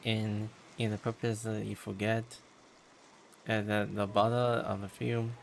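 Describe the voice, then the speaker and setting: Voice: medium pitch, level tone, unhurried, gravelly, a little hiss or hum. A game streamer muttering short reactions during play. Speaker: live streamer.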